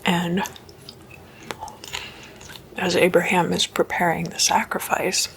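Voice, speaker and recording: A woman whispers an ASMR story in a hushed, informal explanatory manner. Her delivery is very slow and stays quite even, with little melodic movement. A gravelly creak runs through the voice. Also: fairly low pitch, slight background noise, rough recording. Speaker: host of a spooky ASMR series.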